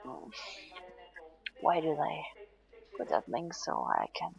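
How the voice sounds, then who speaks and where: A woman playing a tired, stubborn but playful patient in an intimate audio roleplay, murmuring sleepily and haltingly, often close to a whisper. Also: deep voice, wildly swooping pitch, muffled recording, exaggerated voice. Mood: drowsy grumbling. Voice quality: breathy, often dropping to a whisper.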